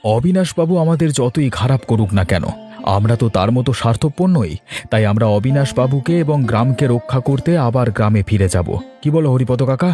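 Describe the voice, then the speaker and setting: An actor playing a wronged but forgiving young village fish farmer in a cartoon, emphatic and plain-spoken. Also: male voice, mid-range voice, lively intonation, a little fast, warm tone, faint music behind, close to an everyday voice. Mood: firm, forgiving. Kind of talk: Bengali moral-tale cartoon dialogue.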